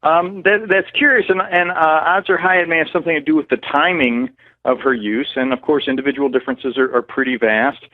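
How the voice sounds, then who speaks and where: Guest expert on a dry, tinny phone line; man, measured and hedging, explains studies. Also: fairly high pitch, fairly even intonation, dry recording. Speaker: cannabis researcher guest. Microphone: telephone.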